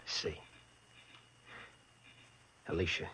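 An actor playing a glib young racetrack hustler who talks in slang, in 1940s radio style. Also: male voice, pushed and bright. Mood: guarded. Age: young adult. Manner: glib, fast-talking, slangy. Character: smooth-talking young male racetrack hustler.

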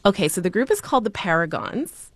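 A woman's voice: mid-range voice, big pitch swings, fast talker, no echo, studio quality. A woman from Canada, a radio guest, explaining music history in a friendly casual chat. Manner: friendly, explanatory, laughs easily.

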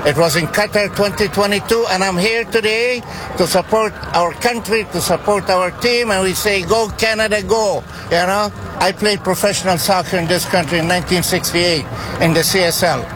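A man's voice: high-pitched, moderate pace. An older man from the Middle East, a soccer fan interviewed outdoors, speaking earnestly and with passion. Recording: outdoor street noise.

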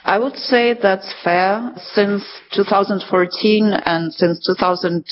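A woman writer from eastern Ukraine, a native Russian speaker, answering in articulate, deliberate English with light hesitations. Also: medium pitch, dull audio, a little hiss or hum. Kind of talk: radio interview.

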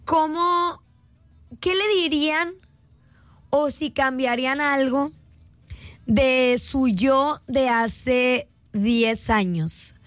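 A woman's voice: sits high, fairly bright tone, no echo. A Mexican woman in her late twenties, a radio host, talking heartfelt and casual to her listeners and stretching key words out. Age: late 20s. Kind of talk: reflective casual monologue to listeners. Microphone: phone app mic.

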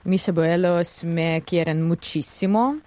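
Young Russian woman, non-native speaker with an accent, low-pitched, hesitant. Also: fairly even intonation, slow, darkish tone, dry recording. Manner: careful, slightly hesitant, many fillers. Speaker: guest journalist. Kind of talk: interview answers.

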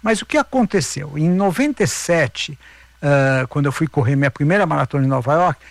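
A man's voice: a little high, expressive, a little fast, vocal fry, dry recording. A middle-aged man with a Brazilian accent telling anecdotes in a casual interview, emphatic and repeating himself. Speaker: interview guest, veteran marathon runner.

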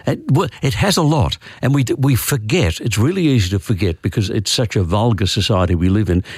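Brief: older Australian man, opinionated word expert, lowish voice, close dry studio mic. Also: lively intonation, brisk, a little mellow, breathy edge, no echo. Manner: authoritative, wry, opinionated. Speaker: regular language expert guest on talkback radio. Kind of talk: casual radio chat explaining words. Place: radio studio. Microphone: studio microphone.

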